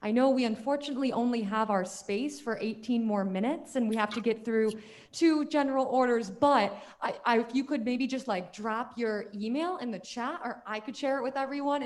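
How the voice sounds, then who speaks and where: A young woman chairing a student government meeting, effusive and upbeat, heaping praise on speakers. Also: a little high. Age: young adult.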